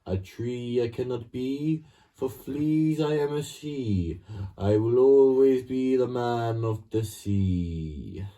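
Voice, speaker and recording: A man's voice: mid-range voice, slow pace, mellow, far from the mic. A man playing a carefree, stubborn pirate who boasts in sing-song rhymes, in improvised comedy. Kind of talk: improvised comedy role-play.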